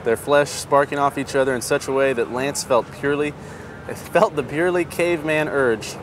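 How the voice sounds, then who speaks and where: A man reading a romance passage aloud a little haltingly. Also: medium pitch. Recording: noisy outdoor traffic ambience.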